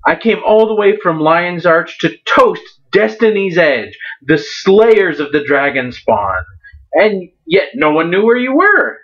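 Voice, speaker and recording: A man's voice: high voice, sing-song, unhurried, a bit bright, heavily acted. Man playing hearty, ferocious sea captain, Magnus. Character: towering jovial, ferocious male Norn sea captain. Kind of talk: dramatic storybook reading. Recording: dull lo-fi recording.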